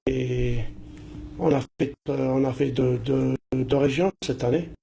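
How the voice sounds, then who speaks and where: A man from Algeria, president of a charity, talking as a guest in a TV studio. He is congested from the flu, and he speaks haltingly with many restarts and fillers. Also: mid-range voice, steady tone, vocal fry, warm tone, slight background noise. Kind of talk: casual chat.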